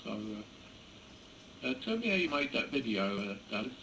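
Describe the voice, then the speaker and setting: Male radio hobbyist, halting casual delivery, gravelly fry, clearly noisy camera audio. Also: lowish voice, big pitch swings. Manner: hesitant, halting, chuckling. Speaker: amateur radio operator. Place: indoors beside a computer.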